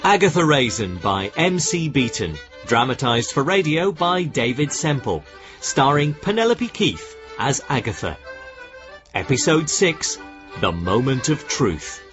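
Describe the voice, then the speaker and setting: A male announcer delivers scripted broadcast lines at a medium pitch with plenty of rise and fall. Violin music sits behind him, and the sound is a bit band-limited. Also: very animated, measured pace, dull audio. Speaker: radio announcer.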